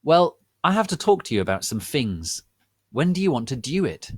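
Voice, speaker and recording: A man voicing a young female gothic witch teacher, brisk and foul-mouthed, in a dramatic story reading. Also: mid-range voice, big pitch swings, slightly rough, dry recording, clean studio sound, voice pushed up. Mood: friendly.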